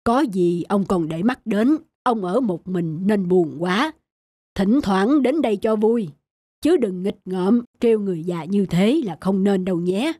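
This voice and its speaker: A woman voicing a gruff but kindly old male vulture in a cartoon, scolding like a grandfather. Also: medium pitch, animated, measured pace, dark tone, no room sound. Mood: kindly advice. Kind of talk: cartoon voice acting. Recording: music behind the voice.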